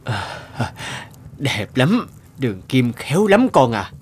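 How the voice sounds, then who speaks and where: A man playing a gentle, reserved music teacher of about forty in an animated folk tale, speaking in an earnest, measured, formal way. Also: medium pitch, slight background noise. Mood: wistful, tender.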